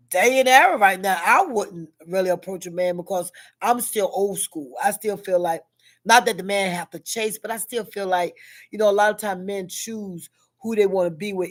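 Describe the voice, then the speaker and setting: A middle-aged woman with a Southern American accent and a deep, heavy voice, chatting casually and musing aloud in a personal vlog. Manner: chatty, musing, many you-knows. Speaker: vlogger.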